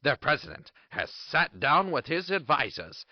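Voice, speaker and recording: A zealous state propaganda announcer voiced by a man in an audio drama, selling the regime with pompous flair. His pitch leaps about wildly, and the sound is dry and a little dull. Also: sing-song, measured pace, no room sound. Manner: pompous, salesman-like.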